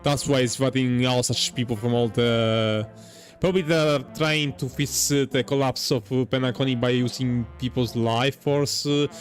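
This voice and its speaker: A man giving casual playthrough commentary on a video game in a rambling, halting manner. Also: mid-range voice, steady tone. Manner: rambling, halting, says "lol" aloud. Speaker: gaming content creator. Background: faint game music.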